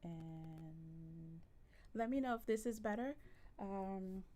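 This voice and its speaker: A woman with a generally soft voice chatting casually with viewers on a live stream, relaxed and full of ums. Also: mid-range voice, slow pace. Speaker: livestreamer.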